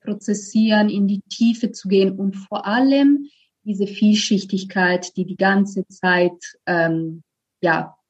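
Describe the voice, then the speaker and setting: A woman's voice: medium pitch, fairly even intonation, slow. Dull-sounding recording of a woman guest. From Italy. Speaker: podcast guest, voice trainer. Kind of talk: reflective conversational interview.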